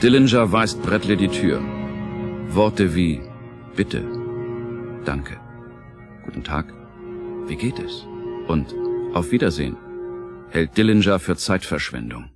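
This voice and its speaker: A man's voice: low voice, lively intonation, slow, long pauses. Dramatic male narrator over music. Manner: theatrical, suspenseful, articulate. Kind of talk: dramatic scripted narration for a radio play.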